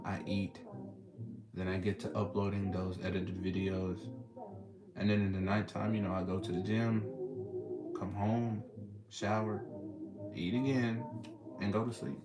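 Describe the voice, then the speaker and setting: A young man with a Texan accent, hosting a casual live stream and chatting with callers in a laid-back, slangy way. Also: sits low. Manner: laid-back, slangy, reading and answering chat. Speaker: streamer host. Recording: loud music playing behind.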